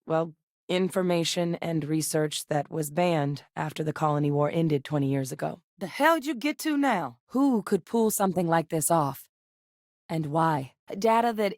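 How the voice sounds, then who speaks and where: An actress as a low-voiced, earnest military scientist woman. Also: dry recording, studio quality, natural voice. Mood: troubled, indignant. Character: determined, professional military scientist woman in a sci-fi world. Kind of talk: scripted video game character dialogue.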